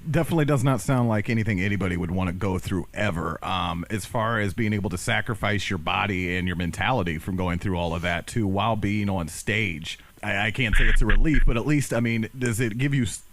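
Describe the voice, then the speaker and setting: A man's voice: on the low side, fairly quick, few pauses, fairly bright tone, a little hiss or hum. A man conducting a conversational interview, speaking in long, rambling questions and remarks.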